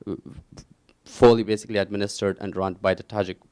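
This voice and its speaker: A man's voice: on the low side, flat delivery, fast talker, a little mellow, smooth. A man in the audience at a panel, talking at length with many fillers such as "kind of, like". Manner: rambling, heavy filler words. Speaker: audience member.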